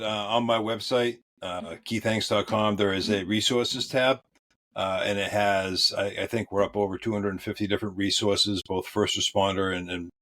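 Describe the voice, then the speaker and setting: A man with a thick Boston accent, a podcast guest speaking in a relaxed way with frequent fillers and small chuckles. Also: lowish voice. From New England. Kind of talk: podcast interview.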